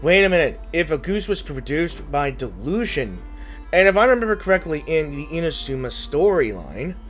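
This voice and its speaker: A man's voice: a little high, sing-song, moderate pace, fairly bright tone, faint music behind. A man hosting a gameplay video, commenting casually and thinking aloud with informal interjections. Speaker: gaming video host.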